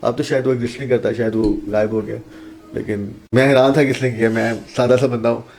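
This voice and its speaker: A man's voice: mid-range voice, level tone, quick pace, darkish tone, slight background noise, poor audio. A man from Pakistan, an accounting teacher, answering interview questions in an earnest, explanatory way and mixing in English phrases.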